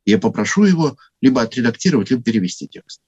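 A man with a husky voice giving an unscripted talk on church history, thoughtful and full of hesitations. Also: medium pitch, very animated, quick pace, darkish tone, no room sound, highs cut off.

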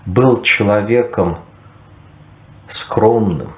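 A man giving a reflective, measured talk about history, pausing often. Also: on the low side. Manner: measured, with pauses and recited verse. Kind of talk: reflective lecture-style talk. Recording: roomy echo.

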